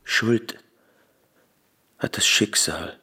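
An actor playing a grieving, gullible widowed husband, broken and forgiving, with a husky, gravelly voice in a German radio drama. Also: male voice, extremely slow, sharp tone, heavily acted.

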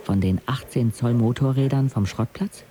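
Male actor as teenage boy narrator, radio drama, observant, sardonic, frank, medium pitch, noisy background. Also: normal speed, lightly acted. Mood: matter-of-fact. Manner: reflective, articulate, frank.

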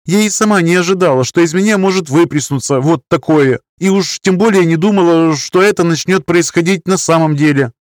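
A man's voice: a little high, a little fast, hardly any pauses, no echo, clean studio sound, voice pushed up, lightly acted. A man voicing an anxious, defensive woman of nearly thirty in an audiobook, pleading and justifying herself. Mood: defensive, shaken. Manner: pleading, self-justifying, wordy. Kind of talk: audiobook character dialogue.

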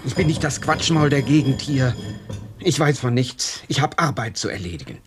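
An actor playing a sullen, fearful blacksmith in a radio play, defensive and self-pitying in short blunt sentences. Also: male voice, medium pitch, lively intonation, moderate pace, noisy background, lightly acted.

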